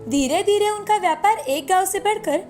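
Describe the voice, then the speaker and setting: A woman narrates a moral cartoon tale in a steady, scripted storytelling manner. Her voice sits high, and faint music plays beneath her. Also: normal speed.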